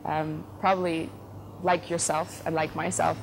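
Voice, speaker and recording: A woman from the Netherlands speaks in a low, steady register with little rise and fall. She is giving relaxed interview answers as a company head, thinking aloud with frequent fillers and restarts. A clear hiss of background noise sits under her voice. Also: a little slow, poor audio. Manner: thoughtful, frequent fillers and self-corrections. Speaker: company CEO, interview guest.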